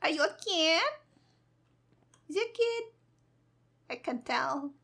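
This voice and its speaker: A woman streamer commenting playfully over a game in a very high-pitched voice. She takes her time with the words, sounding giggly and sassy, and her tone is bright and sharp. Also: expressive, slow pace, vocal fry. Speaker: gaming streamer. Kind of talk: casual playful gameplay commentary.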